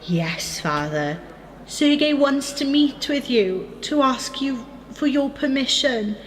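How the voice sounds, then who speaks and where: A woman's voice: high-pitched, lively intonation, takes it slowly, slight background noise. An actress in a radio play as a frank, insistent young woman, a daughter standing up for herself. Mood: reluctant. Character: reluctant, defiant engaged daughter, frank with her father.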